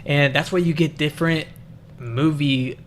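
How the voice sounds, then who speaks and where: Young dude cohosting a movie chat, rambly n hesitant, bit of room noise behind him. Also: male voice, a little high, fairly even intonation, measured pace. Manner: hesitant, rambling, circles back to rephrase. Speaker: film review co-host. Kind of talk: casual unscripted movie chat.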